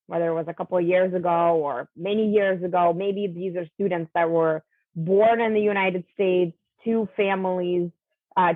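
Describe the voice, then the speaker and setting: A woman's voice: on the low side, no room sound. Woman with a native Russian lilt on a tinny line. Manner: measured, many fillers and restarts. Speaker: guest, college admissions coach. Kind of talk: conversational interview. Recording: tinny phone-like line, dry.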